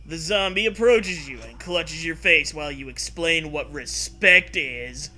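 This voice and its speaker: A man's voice: on the high side, a little slow, sharp tone. A man co-hosting a comic read-aloud, playful and given to exaggerated emphasis between bits of banter. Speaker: co-host reading aloud.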